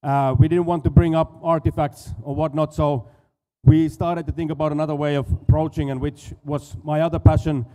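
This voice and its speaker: A man's voice: on the high side, level tone, dark tone. Finnish-accented man giving a stage talk. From Finland. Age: 40s. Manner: halting, repeats words, casual with fillers. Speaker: conference speaker, dive school owner. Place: expo stage hall.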